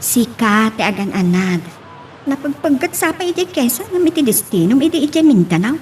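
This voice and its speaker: A woman's voice: fairly high pitch, big pitch swings, normal speed, darkish tone, a little hiss or hum. A woman playing a pregnant young working woman, affectionate but anxious, in an Ilocano audio drama. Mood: earnest.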